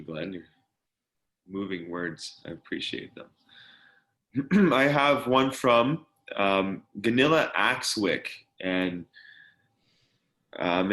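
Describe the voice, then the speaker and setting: A man with a very low voice reads out an audience question. He pauses and hesitates as he goes. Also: a bit bright. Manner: hesitant, reading aloud. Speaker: question reader.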